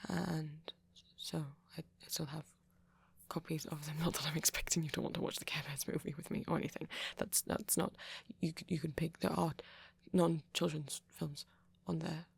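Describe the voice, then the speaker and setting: A very breathy, low-pitched, bashful young male vampire, acted out by a woman in an ASMR roleplay. The voice is soft and often half-whispered, with a gravelly edge. The delivery is hesitant and self-conscious, full of anxious stammers and apologetic turns. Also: full character voice. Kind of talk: ASMR roleplay monologue to a listener.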